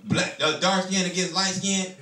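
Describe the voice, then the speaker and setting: A man's voice: high-pitched, steady tone, moderate pace, sharp tone. A man in his thirties from telling a loose, profane stand-up story, repeating "you know what I'm saying". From Detroit, USA. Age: 30s. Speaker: stand-up comedian. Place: small comedy club. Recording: roomy club sound from a distance, crowd noise.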